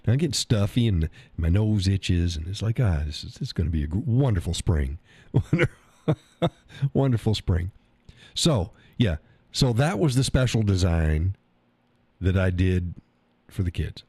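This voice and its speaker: Low-voiced man, stuffy, rambling. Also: lively intonation, unhurried, pauses often, darkish tone, husky, dry recording. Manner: rambling, folksy, reads chat aloud. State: stuffy nose. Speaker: live stream host. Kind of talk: casual live stream chat. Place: home workshop room.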